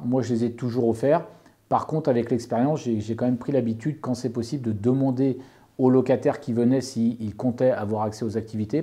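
A man's voice: mid-range voice, warm tone. A man giving informal, methodical explanations and advice as a property owner, rephrasing as he goes. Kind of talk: informal explanatory talk, answering an interviewer.

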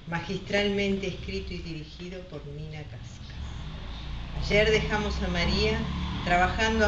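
Argentine woman narrating amid heavy noise. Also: on the low side. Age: middle-aged. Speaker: amateur writer reading her own story. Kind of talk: radio soap announcer narration. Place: living room at home, indoors. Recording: echoey, noisy, muffled home recording with clicks.

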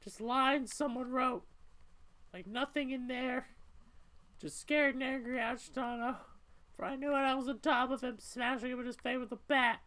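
Woman voicing a high-pitched, troubled young cat girl. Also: fairly even intonation, normal speed, a bit bright. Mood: troubled, confessional. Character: troubled young female cat, weary and confessional. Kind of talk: amateur voiced playthrough of a story game.

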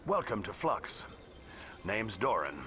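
An actor voicing a genial, hospitable alien nightclub owner and bartender in a video game. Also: male voice, fairly low pitch, sing-song, slow. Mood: welcoming. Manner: hospitable, salesmanlike. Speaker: bar owner.

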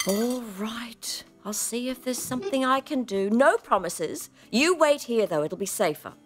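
An older actress playing a gruff, reluctant retired space adventurer with a brisk, sardonic manner in a comedy audio drama. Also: female voice, on the high side, lively intonation, faint music behind. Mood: sardonic, grudging.

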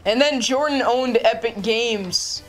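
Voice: mid-range voice, slow pace, fairly bright tone, faint music behind. A young gamer on a family stream, talking over a headset mic, playful and teasing while reading the chat aloud. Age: child. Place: indoors at a desk. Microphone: gaming headset.

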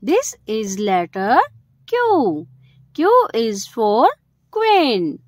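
A woman's voice: fairly high pitch, huge pitch swings, drawn-out words, dry recording, studio quality. A woman hosting a children's educational video, speaking in simple short phrases and stressing the key words. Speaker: host of a children's alphabet video.

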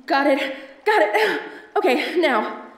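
A woman's voice: high-pitched, lively intonation, takes it slowly, full character voice. Woman acting a theatrical femme fatale, roomy echo. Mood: panicked, pleading. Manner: theatrical, pleading or teasing. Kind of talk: audio roleplay acting addressed to the listener. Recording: roomy echo, distant from mic.

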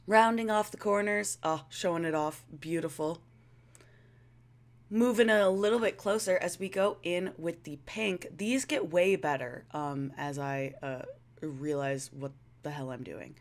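A woman's voice: on the low side, fairly even intonation, measured pace. A young woman giving casual, rambling commentary as a video creator, playful and self-deprecating.

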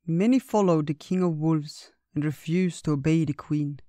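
A man voicing a kindly, wise old male bear who speaks gently and without hurry. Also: fairly high pitch, dry recording, clean studio sound, lightly acted. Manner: gentle, unhurried, storytelling.

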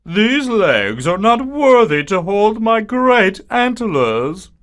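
A man's voice: high-pitched, big pitch swings, takes it slowly, a bit bright, heavily acted. A man voicing a vain, boastful, fussy deer in a children's fable, with a pompous, exclamatory delivery. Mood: dismayed. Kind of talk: children's storybook character acting.

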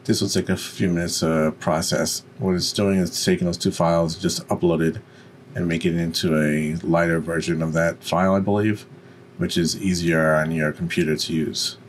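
A man with a deep voice giving an unscripted, step-by-step software tutorial. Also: flat delivery, normal speed, a little hiss or hum. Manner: instructional, step by step. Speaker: tutorial presenter.

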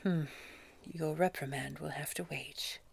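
A woman performs a menacing female boss for an audio roleplay. Her voice is deep and very husky, nearly all breath, and she takes her time. She chooses formal, precise words with cold condescension. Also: steady tone, unhurried, heavily acted. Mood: withering, suspicious. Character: scary, condescending female boss at a secretive organization. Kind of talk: scripted audio roleplay drama.

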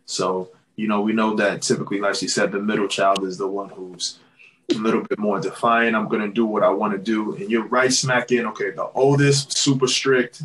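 A man in his thirties with a low voice co-hosts a podcast over a video call from home. He talks in a laid-back, thoughtful way and fills his sentences with "like" and "you know.". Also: steady tone, normal speed, fairly bright tone, slight background noise, low-quality recording. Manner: reflective, says "like" and "you know" often. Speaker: podcast co-host. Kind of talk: casual podcast interview.

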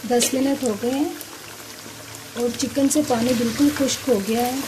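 A woman hosting a cooking video, giving calm step-by-step instructions and softly murmuring a blessing before each ingredient goes in. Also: high-pitched, moderate pace. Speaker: cooking channel host. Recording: noisy kitchen recording with sizzling. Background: frying and sizzling in the pan.

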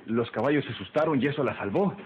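An actor playing a humble, servile rustic farmhand in an old radio drama. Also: male voice, medium pitch, animated, fast talker, lightly acted. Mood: servile, flustered. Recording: dull, slightly noisy old radio recording.

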